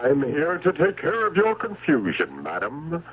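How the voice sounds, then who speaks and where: An actor playing a helpful, courteous consumer adviser in a scripted radio ad. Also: male voice, on the high side, huge pitch swings, a little slow, breathy edge, exaggerated voice. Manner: instructive, reassuring. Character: helpful, courteous consumer bureau advice man. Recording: tinny, faintly noisy radio ad.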